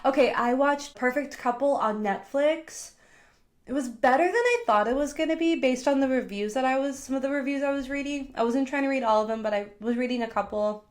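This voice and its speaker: A woman's voice: high voice, a little fast. A woman hosting a podcast, recapping pop culture in a casual, gossipy way. She fills her talk with "like" and sounds congested from a cold. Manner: chatty, heavy use of "like", gossipy retelling. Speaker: podcast host.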